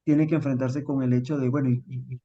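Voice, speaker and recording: A man's voice: mid-range voice, little pitch movement, fast, muffled recording. A young Venezuelan man with a Venezuelan accent touched by Mexican speech, a writer talking as a guest, thoughtful and full of fillers. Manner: thoughtful, many fillers like "este" and "pues". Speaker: author guest. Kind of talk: interview.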